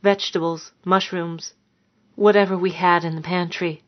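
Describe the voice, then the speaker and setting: A woman's voice: lowish voice, fairly even intonation, normal speed, muffled recording, lightly acted. A woman voicing a meek, frightened woman who sounds timid, apologetic and pleading in a dramatised story. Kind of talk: dramatised fiction narration.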